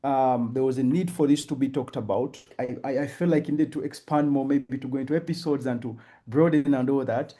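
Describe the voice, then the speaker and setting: Mellow man with a measured, reflective tone. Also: mid-range voice, fairly even intonation. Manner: measured, reflective, stumbles on restarts. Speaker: guest. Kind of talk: casual interview chat.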